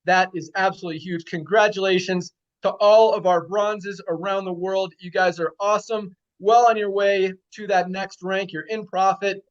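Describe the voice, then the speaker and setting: Enthusiastic high-voiced man hosting. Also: fairly even intonation, moderate pace, hardly any pauses, muffled recording. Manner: enthusiastic, repeats numbers for emphasis. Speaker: host of company call. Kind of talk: upbeat company update on a video call.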